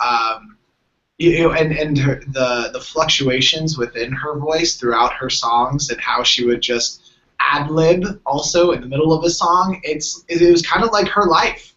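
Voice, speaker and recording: Halting man, dull muffled audio. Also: mid-range voice, a bit bright. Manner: halting, many restarts and fillers. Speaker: group discussion participant. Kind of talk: casual group discussion.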